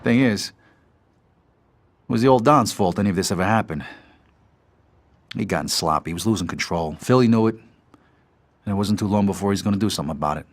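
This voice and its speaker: A man's voice: fairly low pitch, fairly quick, long pauses. A man playing a tough, streetwise New mobster who narrates his story in a game cutscene, hard-edged and profane. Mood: grim recollection. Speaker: narrating protagonist.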